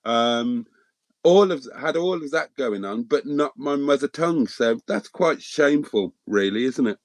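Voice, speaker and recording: A man's voice: medium pitch, expressive, unhurried, no room sound. A middle-aged man from Wales whose first language is Welsh, chatting loosely on a livestream as host, with many ums and restarts. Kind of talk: casual livestream chat answering viewers.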